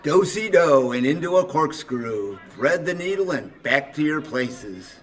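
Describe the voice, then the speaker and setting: A man's voice: a little high, lively intonation, measured pace. A man playing a jolly old master calling out party dances in a radio drama. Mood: jolly, rousing. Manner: sing-out calling. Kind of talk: 1940s-style radio drama acting.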